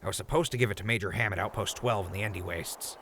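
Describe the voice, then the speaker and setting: Low, gravelly wasteland ghoul played by a man. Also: fast talker, a bit bright, slight background noise. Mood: urgent, pleading. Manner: joking, hesitant, casual. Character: wry, easygoing irradiated ghoul wasteland wanderer. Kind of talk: audio drama acting.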